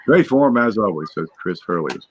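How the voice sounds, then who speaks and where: Low-pitched man hosting a chatty Q&A. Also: pushed and bright, breathy edge. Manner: chatty and explanatory, with fillers and restarts. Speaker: show host. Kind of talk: casual panel Q&A, reading viewer questions aloud.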